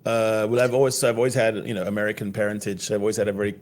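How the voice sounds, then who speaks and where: A man's voice: on the low side, fairly even intonation, very fast, a little mellow, slight background noise. A man from South Africa co-hosting a rugby podcast in casual chat, teasing and laughing often. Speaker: podcast co-host.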